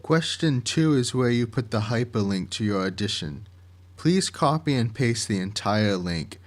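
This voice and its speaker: A man reading scripted instructions in a methodical, measured and plainly worded way, like a project director explaining a process. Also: medium pitch, animated.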